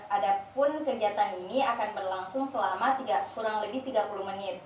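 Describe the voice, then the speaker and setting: A young woman, a nursing student, leading a group therapy role-play, giving instructions with frequent hesitations. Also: medium pitch. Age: young adult. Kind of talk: guiding a role-play session. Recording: roomy, distant, faint noise.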